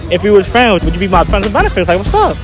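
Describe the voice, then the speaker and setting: Young guy hosting street interviews at night, quick cocky slangy talk, music and crowd all around. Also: sits high, very animated. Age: young adult. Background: loud music and crowd.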